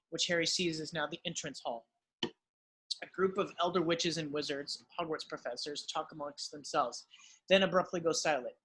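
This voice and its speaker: A man's voice: fairly high pitch, sharp tone, a little hiss or hum, rough recording. A man reading screenplay stage directions as narrator in an amateur table read.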